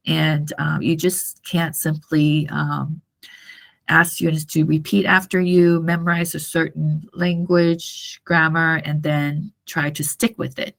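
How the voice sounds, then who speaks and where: A woman lecturer from Taiwan whose first language is Mandarin speaks in a low-pitched, creaky voice. She explains slowly and haltingly, circling back to repeat her points and often checking that the class follows. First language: Mandarin Chinese. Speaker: university lecturer.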